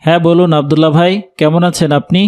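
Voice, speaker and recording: A kindly educated office worker played by a man in a cartoon. His voice is a little high for a man, and he speaks with courteous, helpful manners. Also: level tone, fast talker, a little mellow, close to an everyday voice. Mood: reassuring, helpful. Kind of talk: animated cartoon dialogue.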